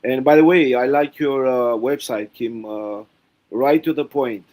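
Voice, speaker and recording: A middle-aged man talks on a live video call. He is courteous and hesitant, pausing often on "uh". His voice sits in an ordinary middle range for a man. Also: medium pitch, fairly even intonation, a little mellow. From Romania. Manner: halting, polite, many "uh"s. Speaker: panel guest. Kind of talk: livestream panel chat.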